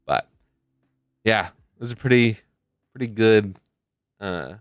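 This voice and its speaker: A man's voice: fairly low pitch, expressive, takes it slowly, clean tone, no room sound. A young man hosting a charity live stream in a casual, rambling way, often losing his train of thought. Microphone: desk mic close to a face camera.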